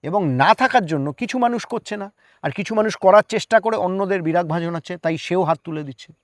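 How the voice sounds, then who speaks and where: A man's voice: sits high, a little fast, no echo, studio quality. A man speaking earnestly as an interview guest, explaining his opinions emphatically with rhetorical questions.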